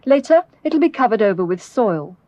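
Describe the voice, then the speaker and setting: A woman's voice: fairly high pitch, big pitch swings, normal speed, dark tone, no echo, muffled recording. British woman narrating, dry close recording. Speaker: documentary narrator. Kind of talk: scripted educational film narration.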